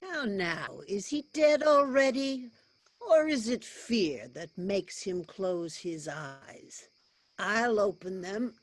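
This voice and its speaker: A woman's voice: medium pitch, lively intonation, takes it slowly. A woman playing a ruthless, vengeful male warrior lord in a staged reading of Shakespearean verse, menacing and declamatory. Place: online video call.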